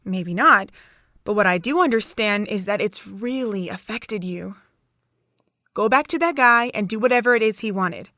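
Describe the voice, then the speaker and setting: A woman's voice: medium pitch, moderate pace, pushed and bright, dry recording, clean studio sound. A young woman playing a chirpy, caring and nosy college student in an amateur audio drama. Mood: firm, encouraging.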